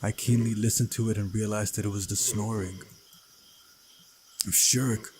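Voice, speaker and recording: A man's voice: lowish voice, fairly even intonation, normal speed, darkish tone, a little hiss or hum. A man reading a visual novel aloud as narration, with casual side comments and an occasional stumble over the text.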